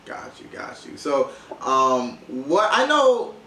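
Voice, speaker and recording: A man's voice: a little high, big pitch swings, takes it slowly, bright, forceful tone, far from the mic. Casual young man, echoey and off-mic. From Haitian background. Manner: informal, slangy. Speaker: podcast host. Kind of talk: casual chat, interviewing. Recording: echoey room, off-mic, faint noise.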